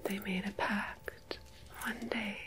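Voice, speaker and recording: A woman playing a mysterious, gentle tarot medium in an ASMR roleplay, speaking in a soft breathy whisper with deliberate pauses. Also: medium pitch, flat delivery, natural voice. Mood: mystical, solemn. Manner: slow, hushed, deliberate, with long pauses. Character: mysterious gentle female tarot medium between two worlds.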